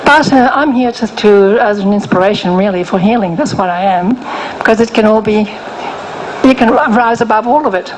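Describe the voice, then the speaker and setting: Older woman with a soft voice, gentle and frank, pausing and restarting; interview guest, faint hum behind. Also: mid-range voice, poor audio. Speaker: guest author. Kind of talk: interview, personal story.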